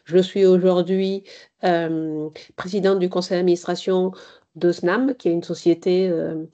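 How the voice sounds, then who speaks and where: Middle-aged woman, slow and measured, mellow lower voice, conference guest. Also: flat delivery. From Italy. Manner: measured, hesitant with many pauses. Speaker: board chair and guest speaker. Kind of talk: conference talk. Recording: slightly dull lo-fi sound.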